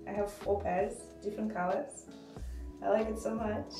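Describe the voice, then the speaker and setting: A woman's voice: mid-range voice, normal speed, roomy sound, over music, low-quality recording. Chatty young woman vlogging on a trip. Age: young adult. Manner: chatty, relaxed, with fillers like "like" and "you know". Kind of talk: casual travel vlog. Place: hotel bathroom and outdoor viewpoints. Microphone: handheld camera at arm's length.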